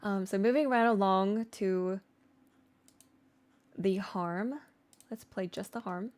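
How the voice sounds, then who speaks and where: A woman with a mid-pitched voice talks casually as she teaches singing technique on a livestream. She is informal and slangy, explaining things step by step and chatting with her viewers along the way. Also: slow, darkish tone. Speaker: streamer and singer teaching vocal layering. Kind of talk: casual livestream tutorial with chat replies.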